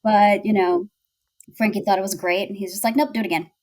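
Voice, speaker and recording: A woman's voice: mid-range voice, fairly even intonation, fast talker, darkish tone. A woman chatting casually on a podcast about filming a web series, using lots of filler words. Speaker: cast member recalling filming.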